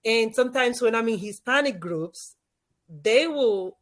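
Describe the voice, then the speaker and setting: A woman's voice: on the high side, lively intonation, a little slow. A woman from the Dominican Republic with a Dominican Spanish accent, a native Spanish speaker, explaining her points emphatically in a conversational interview as a guest expert. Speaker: podcast guest, leadership expert and former teacher.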